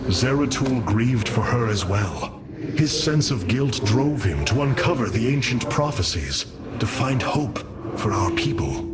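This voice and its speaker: An actor playing a noble, resolute alien warrior leader in a sci-fi game cutscene, speaking formally and with solemn authority. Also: male voice, medium pitch, animated, a little slow, loud music behind the voice, close to an everyday voice. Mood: earnest, solemn. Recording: voice under very loud score.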